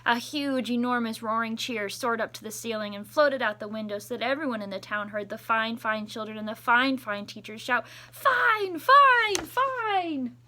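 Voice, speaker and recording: Lady doing storytime for school kids, bright voice, expressive, chats in between. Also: on the high side, a little fast, few pauses. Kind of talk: expressive children's picture book read-aloud with chatty asides.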